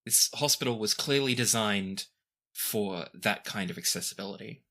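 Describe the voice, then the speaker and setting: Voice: medium pitch, normal speed, a bit bright. A game master narrating a tabletop fantasy game in a conversational, descriptive way, with casual asides.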